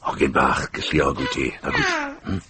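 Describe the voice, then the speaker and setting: An actor playing a kindly, shrewd older Welsh monk in a radio drama, with a gentle, musing manner and a gravelly voice. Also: male voice, very deep voice, huge pitch swings, moderate pace, soft background music, heavily acted. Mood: fond, musing.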